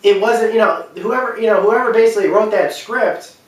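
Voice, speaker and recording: A man giving an unscripted opinion talk as a video commentator, speaking emphatically in a halting, repetitive, rambling way. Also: high voice. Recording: very echoey room, distant microphone.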